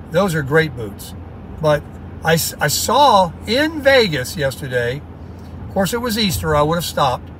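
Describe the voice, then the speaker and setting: A man's voice: on the high side, fairly bright tone, rough recording. Chatty man talking while driving. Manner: chatty, folksy, upbeat. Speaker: vlogger. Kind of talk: casual rambling talk while driving. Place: inside a moving car. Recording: faint road noise in car. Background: road and car noise.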